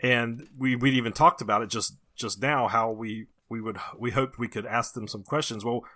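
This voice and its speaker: A man's voice: mid-range voice, steady tone, moderate pace, sharp tone. A man co-hosting a podcast in a casual, chatty style. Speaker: podcast co-host.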